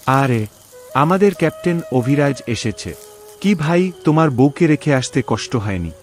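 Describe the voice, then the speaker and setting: A man as a jovial, animated teasing soldier. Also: medium pitch, moderate pace, faint music behind, natural voice. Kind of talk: cartoon story voice acting.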